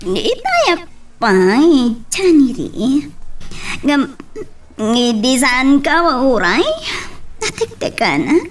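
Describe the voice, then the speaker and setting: Woman playing a high-pitched, tipsy, coaxing young Ilocano woman. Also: wildly swooping pitch, slow pace, long pauses, distant mic, full character voice. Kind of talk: amateur audio drama acting.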